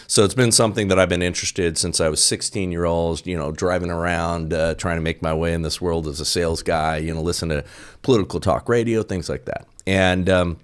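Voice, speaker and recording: Very deep-voiced emphatic man. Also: fairly even intonation, a little fast. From United States. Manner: emphatic, tags points with "okay?" and "right?". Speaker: guest. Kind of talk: casual political conversation.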